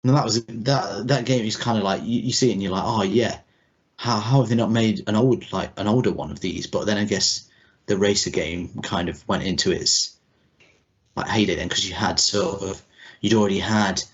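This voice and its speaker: A man co-hosting a podcast in casual chat, talking in a rambling, halting, informal way. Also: on the low side, slightly rough. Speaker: podcast co-host. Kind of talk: casual chat about retro cartoon video games. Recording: dull, muffled lo-fi audio.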